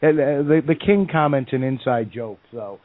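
A male radio host speaking haltingly, with many pauses and restarts, in a light, teasing way. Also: mid-range voice, no echo. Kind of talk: casual radio talk. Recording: dry, tinny phone line.

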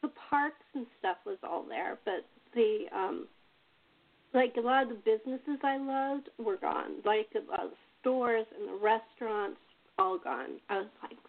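A woman's voice: on the high side, unhurried. An American woman from Los Angeles hosting a casual chat in a rambling, repetitive way over tinny phone-like audio. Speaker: host and playwright.

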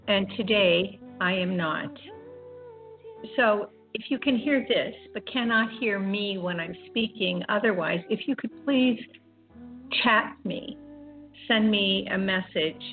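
An older woman hosting an online spiritual radio program, speaking in a reflective, informal monologue with halting, deliberate phrasing. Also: medium pitch. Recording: dull, phone-like internet radio audio. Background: music.

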